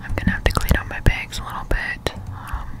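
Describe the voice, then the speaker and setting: A woman whispering casual ASMR game commentary close to the microphone while chewing gum. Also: a little fast. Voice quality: unvoiced whisper. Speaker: streamer playing a video game. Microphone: close-up desktop studio microphone.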